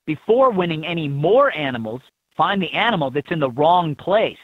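A man reading scripted instructions for a children's learning game in an expressive, instructive manner. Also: on the high side, normal speed, no echo. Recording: thin, phone-like lo-fi game audio.

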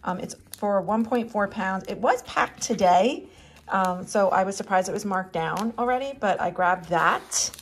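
A woman's voice: medium pitch, measured pace. Woman vlogger doing a chill grocery haul, chatty, just rattling off prices. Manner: chatty, steady listing of items and prices. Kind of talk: casual grocery haul voice-over.

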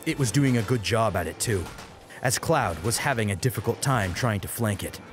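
A man's voice: on the low side, animated, normal speed, over music. A man narrating an action story as an audiobook, in a dramatic scripted style.

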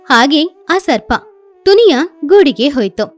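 A woman's voice: sits high, very animated, measured pace. A woman narrating a scripted children's story.

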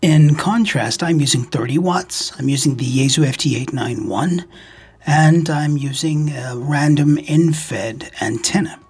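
Husky-voiced man narrating a tutorial. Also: fairly high pitch, a little slow, a little mellow. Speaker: ham radio video host. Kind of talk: scripted instructional narration.

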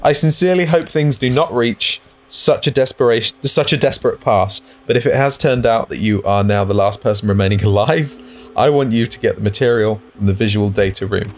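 A man's voice: mid-range voice, brisk. A man co-hosting a let's-play, speaking in an informal, conversational way. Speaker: gaming show co-host. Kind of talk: casual let's-play chat.

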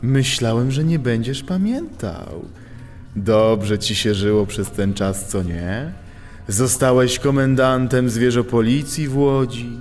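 A man's voice: medium pitch, takes it slowly, a little mellow, natural voice. An actor playing a smug, mocking animal thief and gang boss in a children's audio drama, savoring his words theatrically. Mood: mock-friendly. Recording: long cavernous reverb, music behind.

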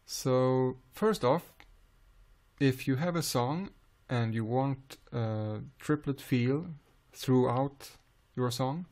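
Slow, gravelly man with a Swedish accent. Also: medium pitch. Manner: calm, unhurried explaining with frequent "uh" pauses. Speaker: music software tutor. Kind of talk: screen-recorded software tutorial.

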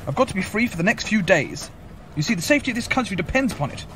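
A man playing a suave, polite but harried gentleman on the run in a comic radio play. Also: sits high, very animated, very fast. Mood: desperate. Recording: clearly noisy homemade recording.

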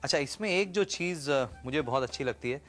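A middle-aged man hosting a TV cooking show, explaining each step calmly with small pauses, recorded on a lapel mic in a studio kitchen. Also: on the high side, faint music behind. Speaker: TV chef and host. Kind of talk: cooking show demonstration with chat.

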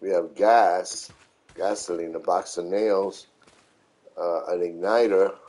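Low-voiced New man streaming gameplay. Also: steady tone, a little mellow. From New York City, United States. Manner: unhurried, repeats himself, instructive. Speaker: video game streamer. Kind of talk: casual live gameplay commentary.

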